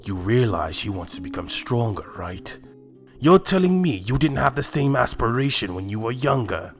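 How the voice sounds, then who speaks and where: A man's voice: medium pitch, lively intonation, moderate pace, soft background music, lightly acted. A man playing a proud, blunt alien warrior in a fan-made anime drama, challenging with pointed questions.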